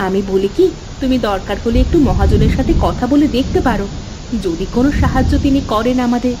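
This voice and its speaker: A woman playing a worried, kind wife from a poor village household in a cartoon moral story, speaking gently and coaxingly. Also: fairly high pitch, a little fast, heavy background noise, natural voice. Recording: music behind the voice.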